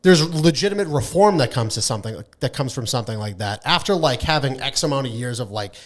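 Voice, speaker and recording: A man's voice: medium pitch, animated, quick pace. A man co-hosting a casual gaming podcast, talking informally and emphatically with plenty of swearing. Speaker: podcast co-host.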